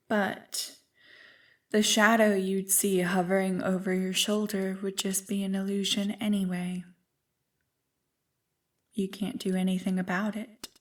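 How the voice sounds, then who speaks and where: A woman playing an ancient evil female spirit in an ASMR roleplay, seductive, smug and condescending in a deliberate, teasing manner. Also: mid-range voice, distant mic. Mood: smug, mocking.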